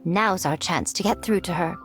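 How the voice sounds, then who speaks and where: A woman's voice: lowish voice, moderate pace, faint music behind, lightly acted. A woman voicing a sly, elegant, self-assured fox lady in a video game, teasing and condescending with poised phrasing. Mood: knowing, coaxing.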